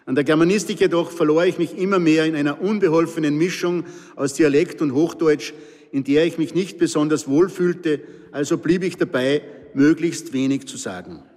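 An older man with an Austrian accent reads his memoir in a calm, dry storytelling manner. His voice sits slightly high and is wrapped in a long reverb. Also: moderate pace. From Pinzgau, Austria. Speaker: author reading his memoir. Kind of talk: scripted narration.

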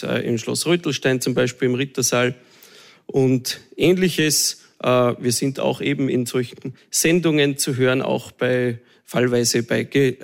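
A man's voice: medium pitch, creaky. A man from Salzburg with an Austrian accent, a choir director answering interview questions hesitantly, with many fillers.

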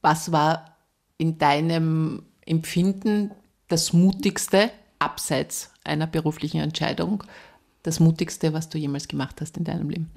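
A woman interviewer with a fairly low voice asks friendly, chatty questions, pausing on small fillers. Her tone stays conversational throughout. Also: fairly even intonation, vocal fry, darkish tone. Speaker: interviewer, host. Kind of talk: casual interview chat.

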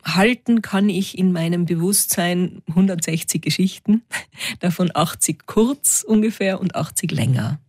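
A woman with a Tyrolean Austrian accent, a storyteller answering interview questions in a lively, reflective way. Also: lowish voice, unhurried, no room sound, clean studio sound. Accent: Tyrolean Austrian German.

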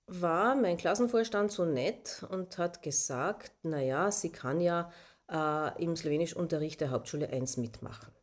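Middle-aged Austrian woman with Slovene roots, a touch low in pitch, telling a story thoughtfully with hesitations. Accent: Austrian German. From southern Austria, Carinthia. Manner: reflective, hesitant, with questioning asides. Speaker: interviewee. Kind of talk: personal storytelling.

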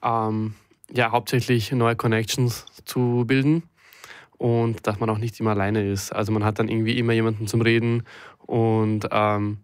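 Smooth, low young man, casual. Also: flat delivery, normal speed, dry recording, studio quality. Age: young adult. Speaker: talk guest. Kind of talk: casual chat.

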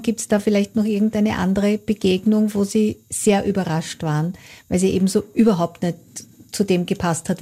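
A woman's voice: mid-range voice, mellow. A woman interviewing an author, asking curious, probing questions with a few hesitations.

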